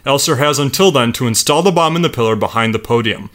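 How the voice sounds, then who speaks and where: Bright-voiced man telling a history story. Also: medium pitch, lively intonation, fast talker. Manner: laughs between lines. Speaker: podcast co-host, storyteller.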